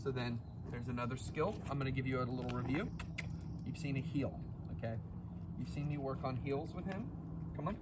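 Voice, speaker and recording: A man who is a dog trainer, explaining walking skills loudly and encouraging the dog between sentences, outdoors on a city street. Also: mid-range voice, brisk. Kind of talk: casual instructional talk while training a dog. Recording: outdoor street recording from a distance. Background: street noise and passing cars.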